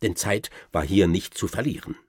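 Husky, boastful gentleman hunter spinning yarns. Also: low voice, moderate pace, no echo, studio quality. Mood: boastful, relishing. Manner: ornate, self-satisfied raconteur, addressing gentlemen listeners. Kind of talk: theatrical first-person storytelling, German radio drama.

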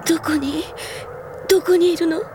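A woman's voice: very high voice, steady tone, normal speed, noisy background. An actress in a Japanese anime audio drama playing a grown elder sister who teases her brother and yearns for her lost parents. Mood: grieving, longing. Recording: studio drama with music behind.

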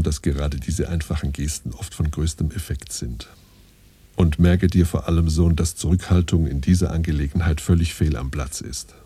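A solemn patriarch lecturing his son in a radio play. The voice is extremely low and dark, with a heavily husky, breathy grain. He speaks in pompous, old-fashioned commands. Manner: pompous, archaic, instructing in imperatives. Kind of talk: scripted radio play monologue.

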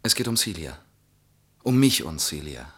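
A man's voice: very deep voice, very animated, normal speed, slightly rough, exaggerated voice. A man playing an earnest, hesitant, self-important young artist in a German radio play, tentative and slightly defensive.

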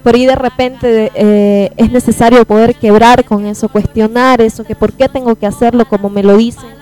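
A woman's voice: a little high, fairly even intonation, normal speed, warm tone, faint music behind. A woman talking casually on a radio panel, building her points tentatively with repeated phrases. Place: radio booth.

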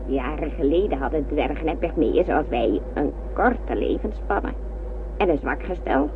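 An actress playing a tiny dwarf crew member of a spaceship, narrating in a radio play. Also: female voice, sits low, lively intonation, unhurried. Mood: explaining. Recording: tinny, noisy old radio recording.

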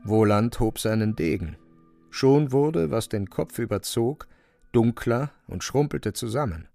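A man's voice: medium pitch, animated, unhurried, vocal fry, warm tone, faint music behind. A man narrating a literary radio play in a scripted storytelling style.

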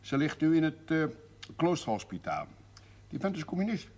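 A man's voice: on the high side, huge pitch swings, normal speed, darkish tone. An actor playing a wry, probing older village father in a naturalistic television drama, with understated and hesitant phrasing. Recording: faintly noisy 1970s television soundtrack, tableware clinks.

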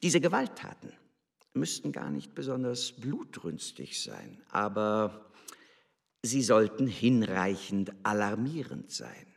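Slow, swooping, condescending embassy secretary. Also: male voice, on the low side, big pitch swings, creaky, heavily acted. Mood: coolly insinuating. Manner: theatrical, condescending, drawn-out emphasis. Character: cynical, smug, imperious embassy secretary in Edwardian London. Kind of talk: radio drama acting.

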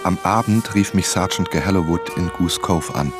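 A man's voice: low-pitched, moderate pace, music in the background, natural voice. A man playing an inquisitive writer who narrates and asks probing questions in a German radio drama. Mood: narrating. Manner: probing, attentive.

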